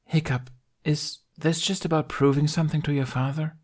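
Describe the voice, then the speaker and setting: Man voicing a blunt young warrior woman, pitched up. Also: steady tone, moderate pace, darkish tone, close to an everyday voice. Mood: grave. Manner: blunt, teasing, weary.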